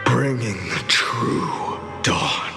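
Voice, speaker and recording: A man playing a tormented, resolute young hero in a video game, with solemn, grandiose, breathy delivery. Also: medium pitch, animated, extremely slow, full character voice. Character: tormented young male hero vowing to burn through endless cycles. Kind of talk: dramatic video game voice acting. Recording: music behind, roomy reverb.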